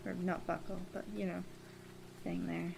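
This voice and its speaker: A young woman streamer chatting casually while drawing, relaxed, with soft laughs and filler words. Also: fairly low pitch, gravelly, noisy background. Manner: relaxed, slow, with soft laughs and many ums. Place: home streaming setup. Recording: music under the voice. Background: music playing.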